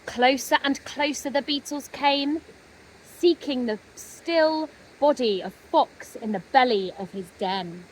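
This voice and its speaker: A woman narrating a children's story expressively, with gentle dramatic pauses. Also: high voice, slight background noise, poor audio. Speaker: storybook reader.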